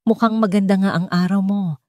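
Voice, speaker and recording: A woman with a Filipino accent voicing a calm, gentle billionaire man in a romance audiobook, with restrained delivery. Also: mid-range voice, fairly quick, no echo, clean studio sound, natural voice. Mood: restrained warmth.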